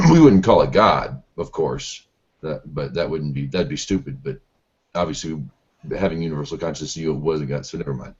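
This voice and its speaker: Male host with a very deep, husky voice, rambling and self-doubting on a lo-fi call. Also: normal speed, a little mellow. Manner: rambling, unsure of himself, many restarts. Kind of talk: casual online group discussion. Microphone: video call. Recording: dull lo-fi online call audio.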